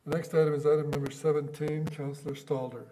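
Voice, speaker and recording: A man's voice: on the high side, level tone, fast talker, dark tone, a little hiss or hum, poor audio. A man chairing a city council meeting as mayor, with formal procedural delivery.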